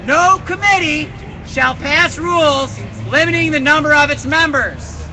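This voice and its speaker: Man presenter, loud and methodical, outdoor meeting, street noise behind. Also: very high voice, rough recording. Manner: loud, deliberate, reads each point then its reason. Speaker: proposal presenter at a general assembly. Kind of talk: reading prepared points aloud at an outdoor meeting. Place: outdoor public assembly with crowd. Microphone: phone livestream. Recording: crowd and street noise on a phone stream.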